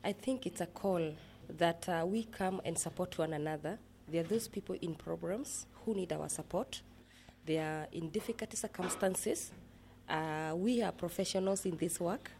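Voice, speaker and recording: A woman with a Kenyan accent explaining her work in an interview, speaking in a measured, earnest way with pauses. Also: fairly low pitch, mellow, a little hiss or hum. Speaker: interviewee, project manager at a rehabilitation center.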